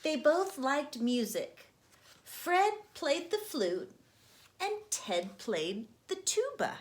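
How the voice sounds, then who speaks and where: Casting: young woman reading to kids, very unhurried, animated, slightly high voice. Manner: slow, gentle, expressive storybook reading. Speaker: storytime host and reader. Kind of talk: children's read-aloud narration.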